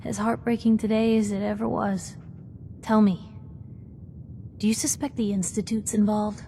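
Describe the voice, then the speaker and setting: A brash young game reporter, voiced by a woman, chatty and inquisitive. Her mid-pitched voice rings in a reverberant room with some noise. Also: flat delivery, normal speed, gravelly, dark tone, natural voice. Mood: earnest. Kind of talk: video game voice acting.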